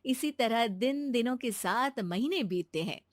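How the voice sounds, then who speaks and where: High-voiced woman storyteller. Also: animated, unhurried, dry recording, studio quality. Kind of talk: scripted moral-story narration.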